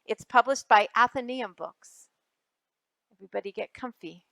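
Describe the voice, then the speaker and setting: Woman, high-pitched voice, children's storytime reader, expressive, addresses little listeners, dry close sound. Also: moderate pace, gravelly, no echo. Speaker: children's librarian reading aloud. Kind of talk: storytime picture-book reading.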